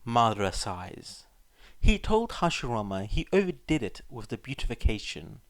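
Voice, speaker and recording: A man's voice: mid-range voice, big pitch swings, moderate pace, no echo. A man working as a fan fiction reader, either narrating a story or chatting casually to listeners. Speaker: podfic reader. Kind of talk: scripted story narration, casual chat afterwards.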